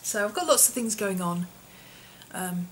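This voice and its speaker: A woman, her voice hoarse and husky from an infection, chatting casually in a vlog with lots of ums and small laughs. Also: medium pitch, animated, unhurried, slight background noise, poor audio. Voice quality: husky, often whispery. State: hoarse, voice partly lost from infection. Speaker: vlogger.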